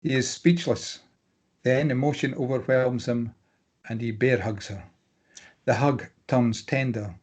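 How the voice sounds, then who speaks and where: Measured man reading stage directions. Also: mid-range voice, steady tone, a little mellow, husky. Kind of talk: scripted narration of screenplay directions.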